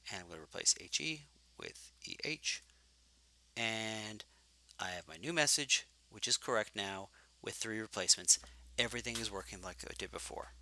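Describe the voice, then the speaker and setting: A man teaching programming in a live coding lecture, thinking aloud and correcting himself as he goes, his voice growing hoarse from long talking. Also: on the low side, lively intonation, moderate pace, bright, forceful tone, rough recording. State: getting hoarse.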